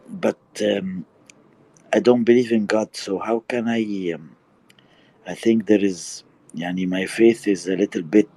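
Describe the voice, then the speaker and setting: A man asking a hesitant question in an online audio room, speaking softly and searching for his words. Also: lowish voice, husky, dry recording, rough recording. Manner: halting, soft, searching for words. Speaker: audience member asking a question. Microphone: own device on an online call.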